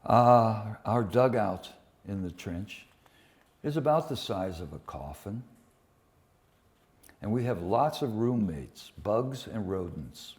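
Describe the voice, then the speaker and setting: A man presenting and reading poems at a live event, with deliberate, dramatic phrasing and pauses. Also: fairly low pitch, animated, a little mellow. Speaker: poetry reader and presenter. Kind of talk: live poetry reading with informal introductions. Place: public poetry reading at a museum.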